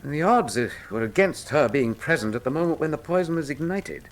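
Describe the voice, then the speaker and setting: Radio drama male sleuth: keen, precise, lecturing, lively melodic delivery. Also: on the high side, a little fast, slight background noise, poor audio. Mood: analytical. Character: keen, commanding Victorian male detective, precise and erudite.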